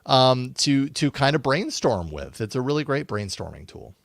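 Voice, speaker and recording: A man's voice: medium pitch, very animated, normal speed, creaky, no echo, clean studio sound. A man talking casually and thoughtfully as a podcast co-host, rambling a little as he works through his ideas. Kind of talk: casual conversational tech podcast talk.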